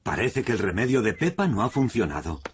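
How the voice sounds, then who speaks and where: Male narrator, Castilian Spanish, storytelling for kids' animation, varied pitch, heavy noise in the recording. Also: very animated, brisk. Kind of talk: scripted children's cartoon narration.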